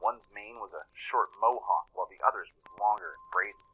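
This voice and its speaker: A man's voice: lowish voice, brisk, dull audio, a little hiss or hum. A man narrating a radio play in a dramatic, scripted storytelling style. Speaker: narrator and producer. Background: music.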